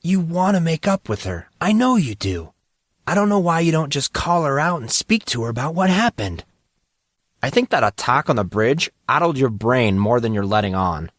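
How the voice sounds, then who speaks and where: A man's voice: mid-range voice, very animated, normal speed, fairly bright tone, dry recording, studio quality, voice pushed up. Man playing a wry, exasperated teenage boy. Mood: pressing. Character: troubled fourteen-year-old schoolboy, sarcastic, exasperated, reluctant. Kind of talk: audio drama dialogue.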